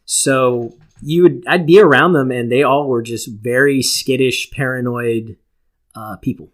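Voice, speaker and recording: A man's voice: mid-range voice, a little slow. Casual, foul-mouthed man telling stories. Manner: profane, self-mocking. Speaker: podcast co-host. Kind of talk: casual chat, storytelling.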